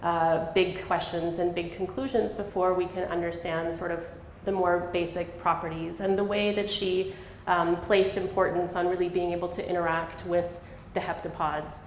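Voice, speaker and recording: A woman's voice: on the low side, level tone, moderate pace, slight background noise. A woman linguist answering questions in a public conversation, explaining in a thoughtful way and laughing now and then. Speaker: linguist giving a public talk. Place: lecture hall. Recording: echoey hall with faint ambience.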